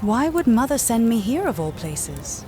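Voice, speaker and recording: A woman's voice: on the high side, big pitch swings, unhurried, dark tone, soft background music. An actress playing a poised, determined young Roman noblewoman in scripted game dialogue. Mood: composed. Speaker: protagonist of a role-playing game.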